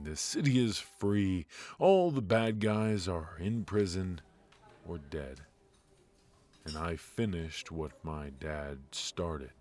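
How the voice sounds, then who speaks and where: A man playing a wry, tender mafia boss in an intimate ASMR roleplay, speaking in a halting, low-key way. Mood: wry, guarded. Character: brilliant ruthless male mafia boss, softened, wry and tender. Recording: music behind the voice.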